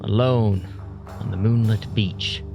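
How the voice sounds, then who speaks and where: A man narrating an audio drama in a deliberate, brooding, scripted storytelling style. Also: on the low side, steady tone, muffled recording. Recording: loud music bed under voice.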